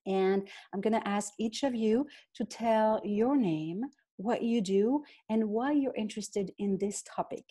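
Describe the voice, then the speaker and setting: Mellow-voiced woman podcast host. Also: medium pitch, a little slow, hardly any pauses, breathy edge. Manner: gracious, brief moderator prompts. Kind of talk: hosting a video call podcast. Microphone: video call.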